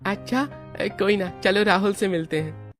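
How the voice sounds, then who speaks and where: A woman's voice: mid-range voice, moderate pace, soft background music, lightly acted. A woman voicing a sweet, caring schoolboy in a cartoon story, eager and affectionate. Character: sweet, caring schoolboy visiting his sick friend.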